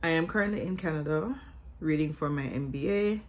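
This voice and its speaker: Low-voiced Jamaican woman vlogger. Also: fairly quick. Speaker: vlogger and English teacher. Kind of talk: scripted vlog talking to camera with casual asides.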